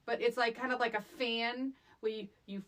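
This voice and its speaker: A woman's voice: a little high, fairly even intonation, normal speed, a bit bright. A middle-aged woman co-hosting a casual, comedic film recap, emphatic and incredulous, often repeating phrases for effect. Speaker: podcast co-host.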